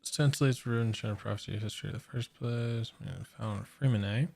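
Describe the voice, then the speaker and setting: A man's voice: mid-range voice, a little fast, vocal fry, darkish tone, faint music behind. A man livestreaming a game, commenting casually and reading on-screen text aloud.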